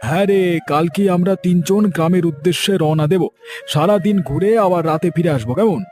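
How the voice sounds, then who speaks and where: A man voicing a genial, indulgent father and husband in a cartoon, with hearty, expressive exclamations. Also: high voice, brisk, lightly acted. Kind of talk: cartoon voice acting. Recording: music bed behind the voice.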